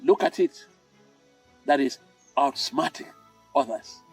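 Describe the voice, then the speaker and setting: Older Cameroonian man, higher-pitched storyteller. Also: sing-song, gravelly, husky, far from the mic, soft background music. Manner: deliberate, emphatic, repeats key phrases. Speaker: storytelling host. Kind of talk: moralising storytelling talk.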